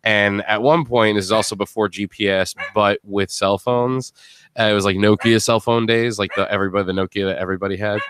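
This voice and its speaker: A man's voice: on the low side, fairly even intonation, brisk, a bit bright, clear, resonant tone, no echo. A man hosting a casual panel chat, telling rambling, jokey stories. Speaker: podcast host.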